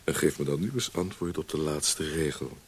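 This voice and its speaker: A young suitor in a radio play, with a deep voice. He is tender and romantic, coaxing softly like a poet in love. The old recording carries a light hiss. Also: moderate pace. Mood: loving, coaxing. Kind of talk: radio drama acting.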